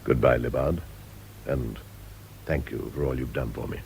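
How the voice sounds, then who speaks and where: An actor playing a wealthy, well-spoken English gentleman, polite and evasive, in a radio drama. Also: very deep voice, fairly even intonation, moderate pace, vocal fry. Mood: resolute. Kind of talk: BBC radio drama acting. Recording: noisy radio drama recording.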